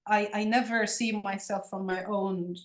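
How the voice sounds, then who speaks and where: A woman's voice: medium pitch, fairly even intonation, measured pace. A woman in her forties from Cyprus, a native Turkish speaker with a Turkish Cypriot accent, giving an online lecture in a conversational way. She speaks earnestly and emphatically, often repeating words as she searches for them. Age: 40s. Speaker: guest lecturer. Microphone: video call.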